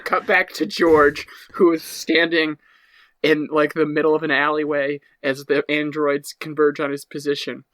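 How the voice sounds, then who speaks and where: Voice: medium pitch, flat delivery, moderate pace, fairly bright tone, no room sound, rough recording. A young adult podcast co-host improvising and bantering casually with friends.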